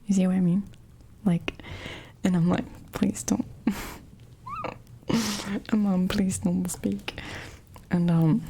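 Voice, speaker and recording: Female streamer, whispered delivery throughout, slow and laughing, drifts into French. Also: mid-range voice, a little mellow. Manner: whispering, giggles often, switches into French. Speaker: livestreamer reading chat. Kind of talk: whispered casual storytelling on a stream.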